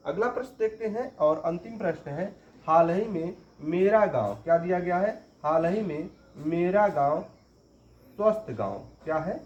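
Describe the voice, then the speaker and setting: A man's voice: a little high, darkish tone. Man teaching a quiz-style lesson. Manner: repeats each question and answer for emphasis. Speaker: current affairs teacher. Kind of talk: exam-prep quiz lesson. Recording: roomy, dull lo-fi recording.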